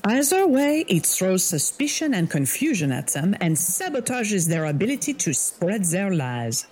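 A woman's voice: medium pitch, sing-song, measured pace, darkish tone, breathy edge. An actress playing a sober, analytical woman conspirator in an audio drama. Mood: matter-of-fact. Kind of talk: radio drama acting.

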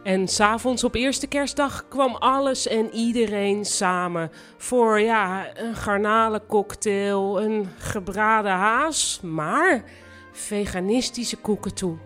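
A woman's voice: mid-range voice, slow, a bit bright, faint music behind. An actress playing an earnest, self-righteous young woman in a live audio drama, narrating and speaking with wry sincerity. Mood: wry, storytelling.

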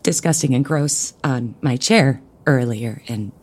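A woman's voice: extremely low pitch, a little slow, a little mellow. A woman playing a chirpy, nosy church youth helper in an audio drama comedy, flustered and stumbling over her words. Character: bubbly, nosy female church youth helper named Clara.

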